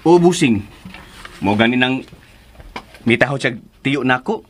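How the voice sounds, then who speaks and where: An actor with a Filipino accent playing a gossipy, concerned friend in a radio drama, exclaiming expressively. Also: male voice, medium pitch, animated, unhurried, a little hiss or hum, close to an everyday voice. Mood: worried, animated.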